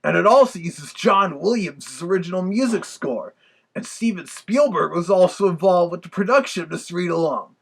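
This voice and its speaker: A man voicing a chatty, nostalgic big bad wolf with a gravelly, husky voice, giving a folksy casual review. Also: high-pitched, expressive, normal speed, exaggerated voice. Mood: informative.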